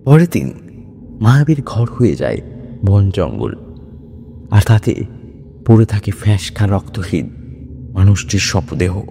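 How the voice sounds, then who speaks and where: A man's voice: lowish voice, very animated, a little slow, creaky, pauses often, dark tone, slight background noise. A man narrating a scripted horror story in a dramatic style. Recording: long reverb with music bed. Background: music.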